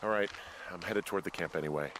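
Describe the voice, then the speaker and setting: Actor doing american everyman fire lookout, kinda low voice, sardonic and suspicious, natural game acting, slight background noise. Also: fast, natural voice. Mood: wary. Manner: sardonic, plainspoken.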